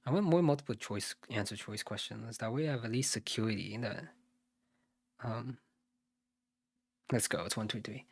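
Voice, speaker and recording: A man's voice: medium pitch, normal speed, gravelly, pauses often, breathy edge, no room sound, studio quality. Man muttering through math problems. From United States. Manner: muttering, self-correcting, thinking aloud. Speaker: SAT tutor streaming live. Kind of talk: live think-aloud math walkthrough.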